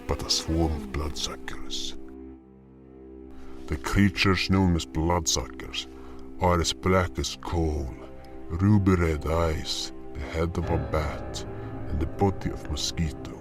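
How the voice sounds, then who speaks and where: A man plays a grim, clawed beast hunter telling a fantasy tale in the first person. The voice is very low and dramatic, impatient in temper, with faint music behind it. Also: steady tone. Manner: dramatic, deliberate storytelling. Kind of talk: scripted first-person story narration.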